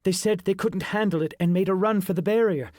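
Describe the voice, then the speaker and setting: A man voicing a calm young woman adventurer who speaks softly and reassuringly in an audiobook. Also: high voice, fairly quick, no room sound, studio quality, pitched above the performer's own voice, natural voice. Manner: soft, reassuring.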